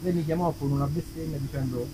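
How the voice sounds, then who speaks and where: A man's voice: a little high, fairly even intonation, fast talker, background noise. A man, a musician telling wry anecdotes casually to an audience between songs at an outdoor concert. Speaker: musician, singer-songwriter. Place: outdoor festival stage in an olive grove. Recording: live outdoor concert recording, guitar behind.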